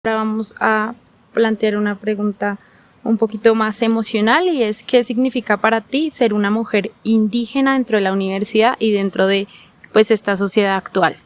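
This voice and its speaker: A woman's voice: mid-range voice, steady tone, moderate pace. A young woman interviewing a fellow student, with short, friendly prompts.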